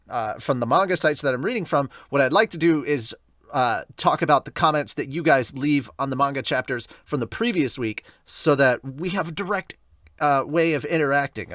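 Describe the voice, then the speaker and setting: A man's voice: mid-range voice, fairly bright tone, no room sound, clean studio sound. A man hosting a podcast review in casual, informal, enthusiastic talk.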